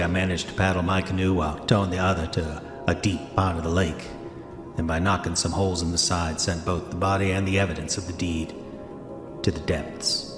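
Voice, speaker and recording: An actor playing a haunted man of an older century, gravely and formally reading his murder confession in a horror audio drama. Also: deep voice, roomy sound, natural voice. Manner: grave, formal, measured storytelling. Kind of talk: audio drama diary monologue.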